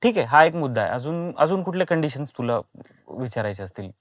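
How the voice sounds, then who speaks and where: A man with an Indian accent speaks as a podcast guest in a very animated, swooping voice. He explains things step by step, often checking he is understood, on a dry, close recording. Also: mid-range voice, brisk, no room sound. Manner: explains step by step with frequent check questions like "right?". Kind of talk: casual explanatory interview talk.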